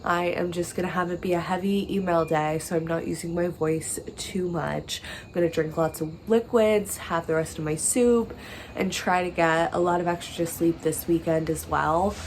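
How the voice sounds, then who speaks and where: A woman's voice: on the low side, fairly even intonation, normal speed, a little mellow, a little hiss or hum. Chatty young woman with a stuffy nose. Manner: chatty, upbeat, lots of "like" fillers. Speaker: vlogger. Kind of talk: casual vlog chat to camera.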